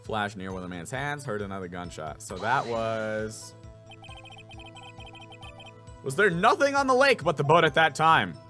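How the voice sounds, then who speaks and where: A man performs a young defense lawyer from a video game. He is earnest and excitable, driving his points home with big pitch swings over background music. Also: moderate pace. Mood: determined, puzzled. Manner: emphatic, accusing, inner musing.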